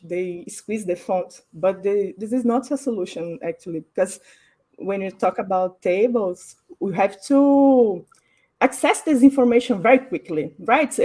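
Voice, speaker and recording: A woman, a graphic designer explaining her work on a panel, thoughtful and pausing to find her words. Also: mid-range voice, warm tone. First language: Portuguese. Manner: thoughtful, pauses to search for words. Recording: online panel stream.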